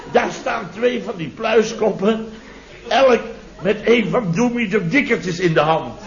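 An actor playing a blustering, sarcastic contractor boss in a radio comedy, theatrical and full of rhetorical tags. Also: male voice, sits high. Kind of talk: late-1960s Dutch radio comedy acting. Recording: muffled vintage radio recording, audience behind.

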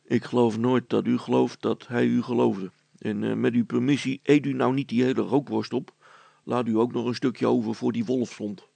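A man acts out a dry, sceptical working man from a storybook, a father with a mocking, mock-polite streak. His voice is deeply creaky and rough, with very little room sound. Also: level tone, normal speed, warm tone, no echo.

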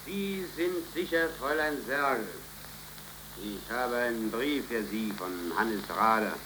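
An actor playing an earnest, troubled working-class man in a 1930s radio drama, with a halting, deliberate delivery. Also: mid-range voice, lightly acted. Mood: worried, grave. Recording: crackly, hissing 1930s radio recording.

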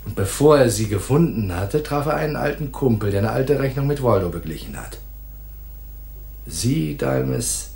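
An actor playing a bullying, sneering, hot-tempered police detective in a noir radio drama, mocking and aggressive. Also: male voice, fairly low pitch, gravelly, reverberant. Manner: mocking, aggressive, swinging from soft taunts to barking.

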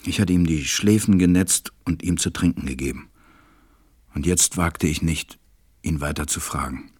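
Deep, melancholy storytelling pilot. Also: male voice, fairly even intonation, moderate pace, darkish tone, husky, no room sound, studio quality. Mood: tender, worried. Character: melancholy, tender grown man, stranded pilot telling his story. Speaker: narrator. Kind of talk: audio drama narration and acting.

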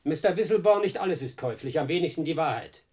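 Husky hard-boiled detective. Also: male voice, fairly high pitch, animated, fast talker, darkish tone. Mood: measured, firm. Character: world-weary, taciturn male private detective, hard-boiled. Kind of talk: German radio play acting.

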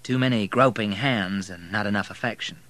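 A man's voice: on the low side, fairly quick, a bit bright, no room sound, close to an everyday voice. A man voicing a poised, teasing Southern woman who is a wealthy divorcee. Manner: arch, teasing.

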